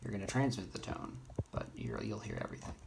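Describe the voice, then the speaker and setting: Halting man giving a tutorial over noisy clicking. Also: fairly low pitch, creaky. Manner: halting, thinking aloud. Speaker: instructor in a radio how-to tutorial. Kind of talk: unscripted screen-demo tutorial. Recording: noisy, keyboard and mouse clicks. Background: noise, typing and clicking.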